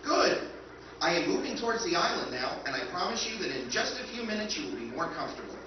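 A man playing a calmly menacing robot ship. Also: a little high, noisy background. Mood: calm, formal. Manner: formal, measured, calmly menacing. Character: polite, relentless robot ship caretaker. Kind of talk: live old-time radio recreation on stage. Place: hotel function room stage with audience. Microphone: stand mic, far camera. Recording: echoey hall from far off, dull.

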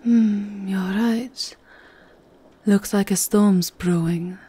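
A woman playing a seductive, aristocratic vampire countess in an intimate ASMR roleplay, with a breathy, husky voice that often drops to a whisper. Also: mid-range voice, steady tone, dark tone, slight background noise. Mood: tender, languid. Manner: slow, sensual, unhurried murmuring.

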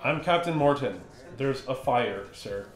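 An actor playing a firm, formal army captain in a radio drama. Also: male voice, medium pitch, expressive, measured pace, lightly acted. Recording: echoey room sound.

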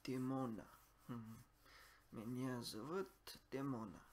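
A young man with Japanese as his first language practicing phrases, halting and repeating lines after a translation app. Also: mid-range voice, fairly even intonation, a little mellow, a little hiss or hum. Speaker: language learner. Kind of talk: self-recorded speaking practice.

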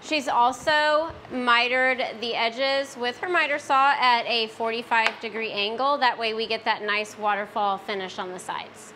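A woman's voice: a little high, unhurried, few pauses, sharp tone, a little hiss or hum. A woman co-hosting a woodworking tutorial, narrating steps and trading playful, teasing quips. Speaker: co-host. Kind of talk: scripted voice-over and banter.

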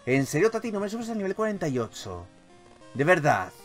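A man with a Spain accent plays through a video game as its host. His voice sits a little high and swings in pitch as he repeats phrases and throws in swear words. Light game music runs behind him. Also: big pitch swings, brisk. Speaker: gaming YouTuber, host. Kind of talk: casual, excitable Pokémon let's play commentary.

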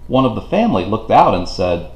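A man reading a children's storybook aloud in an expressive, deliberate way for young listeners. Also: lowish voice, gravelly. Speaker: children's book reader. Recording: roomy, echoing home recording.

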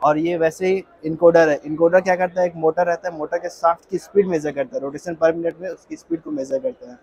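A man's voice: on the high side, fairly quick, creaky, a little mellow, husky, no room sound, poor audio. A man with an Indian accent demonstrating products as an exhibitor, explaining by asking and answering his own questions. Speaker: exhibitor, industrial automation company employee. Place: industrial exhibition stall.

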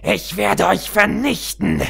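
A man's voice: sits high, huge pitch swings, takes it slowly, gravelly, fairly bright tone, slightly rough, voice pushed up, exaggerated voice. Guy doing a menacing villain queen in a fairy story, snooty and spiteful, raised voice. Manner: menacing, haughty. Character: haughty villainous insect-pony queen, spiteful.

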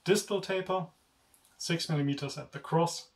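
A young man with a German accent, a native German speaker, chatting casually through a sword review in a room lined with swords, in a relaxed, self-deprecating way. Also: a little high, normal speed, distant mic. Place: indoor room with swords on wall rack. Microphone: camera at chest-up framing.

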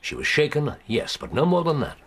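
An actor with an English accent playing a precise, dry-witted, commanding gentleman detective in a radio drama. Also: on the high side, wildly swooping pitch, brisk, slight background noise, exaggerated voice. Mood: intent, grave.

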